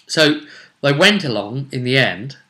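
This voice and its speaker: Man with a bright voice recapping roleplay. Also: mid-range voice, lively intonation, unhurried. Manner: storytelling, musing aloud with rhetorical questions and acted-out asides. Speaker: game master recapping a campaign. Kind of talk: vlog recap of a tabletop roleplay game.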